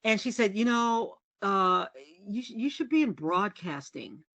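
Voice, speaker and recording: A middle-aged woman journalist from the US, telling candid stories as a podcast guest in a low, resonant voice. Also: a little slow, no room sound. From United States. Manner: lively, candid and self-deprecating, laughs often. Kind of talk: casual storytelling interview.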